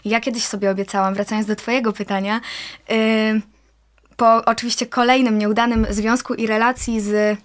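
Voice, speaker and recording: A woman's voice: a little high, little pitch movement, normal speed. A woman in a casual interview, talking at length and reflecting with frequent fillers. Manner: talkative, reflective, many fillers. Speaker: interview guest, actress and singer.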